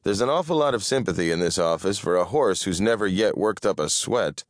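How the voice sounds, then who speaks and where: A man's voice: deep voice, expressive, moderate pace, dry recording. A man voicing a sardonic, grumpy male detective with a dry, irritable delivery, in an audiobook.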